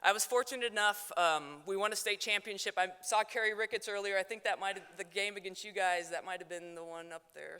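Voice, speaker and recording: A young woman with a mixed South Dakota and Texas accent gives a loud, fast, joking acceptance speech at a banquet. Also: mid-range voice, clean tone. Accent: mix of South Dakota and Texas. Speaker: hall of fame inductee giving acceptance speech. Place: banquet hall. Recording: hall reverb.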